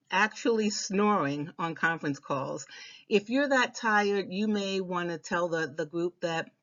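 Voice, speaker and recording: The recording is slightly dull and lo-fi. A woman with a fairly low voice presents practical instruction in an informal, conversational style. She repeats key advice for emphasis. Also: fairly bright tone.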